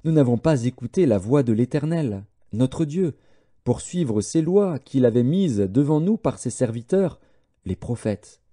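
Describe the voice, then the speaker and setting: A man narrating scripture as an audiobook, reading in a measured, solemn manner. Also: mid-range voice, lively intonation, dark tone.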